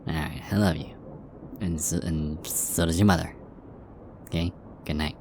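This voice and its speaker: A man plays a middle-aged vampire father in an ASMR roleplay. His voice is low, with a hesitant, caring, mildly stern parental manner, over faint background noise. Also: fairly even intonation, moderate pace. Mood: gentle, reassuring. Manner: hesitant, gently reassuring, parental.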